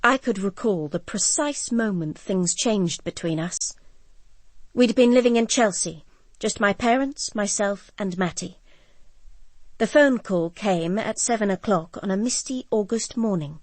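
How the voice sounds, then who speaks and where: Earnest, troubled actress's daughter, dry sound. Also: female voice, mid-range voice, a little slow, no room sound, close to an everyday voice. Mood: reflective, sombre. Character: troubled, grieving, guarded daughter of a politician. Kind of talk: audio drama acting and first-person narration.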